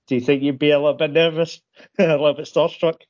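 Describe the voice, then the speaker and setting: A man's voice: on the high side, fairly even intonation, fast, darkish tone. Male interviewer, rambling, self-deprecating, stammers often; dull lo-fi sound. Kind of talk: casual interview questions.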